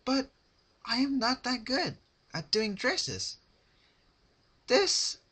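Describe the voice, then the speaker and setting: A man's voice: very high voice, sing-song, extremely slow, pushed and bright, slightly rough, pitched above the performer's own voice, exaggerated voice. A man voicing a bubbly, childish, sadistic female cartoon pony with a sing-song, taunting manner. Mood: chirpy.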